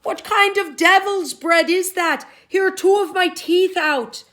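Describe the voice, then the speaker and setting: A woman voicing a boastful, bullying male giant in a storybook, loud and blustering. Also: very high voice, measured pace, pitched above the performer's own voice. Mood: pained, outraged.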